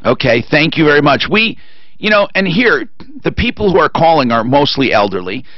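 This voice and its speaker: Man, radio talk host, opinionated, emphatic, very lively pitch, dull lo-fi sound. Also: moderate pace, no room sound. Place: radio studio. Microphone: studio boom mic with headphones.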